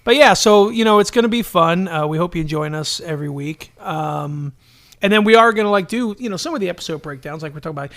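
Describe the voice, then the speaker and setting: A man with a notably high-pitched voice chats as a podcast co-host. His delivery is casual and jokey, and he likes to act out small mock quotes in the middle of his banter. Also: animated, quick pace, a bit bright. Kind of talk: casual nostalgic banter about superhero TV.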